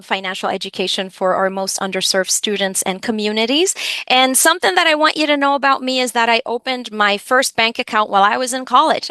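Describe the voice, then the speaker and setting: Woman from the dominican republic presenting a webinar, highish bright voice, welcoming, talks right to the audience. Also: dry recording. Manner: welcoming, addresses listeners directly, clearly signposted. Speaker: webinar host, education program supervisor.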